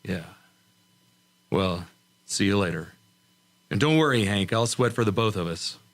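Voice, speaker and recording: A man's voice: fairly low pitch, expressive, unhurried. A man playing a cool, wry rocket test pilot in an old-time science fiction radio drama, giving clipped, matter-of-fact reports. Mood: wry, easygoing.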